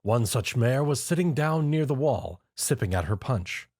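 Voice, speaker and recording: A man's voice: medium pitch, lively intonation, normal speed, a little mellow, no echo, studio quality. A man reading scripted story narration.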